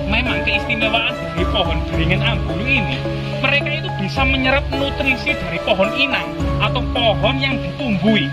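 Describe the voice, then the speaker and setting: Man, high voice, explanatory on-site commentary, repeated phrases, loud background music. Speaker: host and guide explaining ancient sites.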